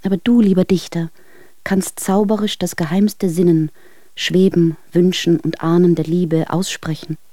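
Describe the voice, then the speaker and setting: Devoted, sorrowful woman in love, soft dark timbre, dry close radio drama sound. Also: on the low side, fairly even intonation, a little slow, no room sound. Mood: enraptured, tender. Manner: intimate, earnest, tender. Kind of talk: German radio drama, literary letter reading.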